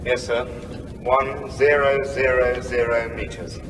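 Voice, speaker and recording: A dutiful, formal naval officer played by a male actor, middling in pitch. He acknowledges and reports in clipped radio drama tones. It is distant and reverberant, with clear noise. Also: little pitch movement, slow pace, natural voice. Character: obedient, formal submarine officer reporting to captain. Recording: distant, echoing, noisy.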